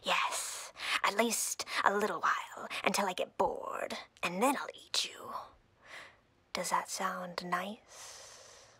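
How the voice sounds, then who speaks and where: Woman voicing a slow, breathy, predatory monster queen. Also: on the low side, fairly even intonation, sharp tone, no echo, full character voice. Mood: possessive, playful. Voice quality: breathy, whispery. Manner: haughty, slow, deliberate, menacingly playful. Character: imperious, predatory female drider queen, coldly flirtatious. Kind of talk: breathy roleplay audio acting.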